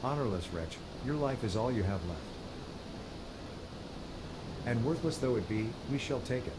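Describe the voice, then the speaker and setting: An actor playing a grim pirate villain in a fantasy game, making formal, archaic threats. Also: male voice, mid-range voice, expressive, normal speed, background noise, natural voice. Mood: cold menace. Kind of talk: dramatic fantasy game dialogue.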